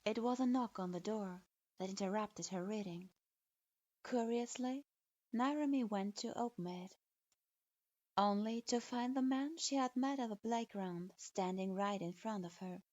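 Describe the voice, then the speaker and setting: A woman reading a fan fiction story aloud in an even storytelling manner. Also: mid-range voice, measured pace. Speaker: story reader. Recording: dull lo-fi.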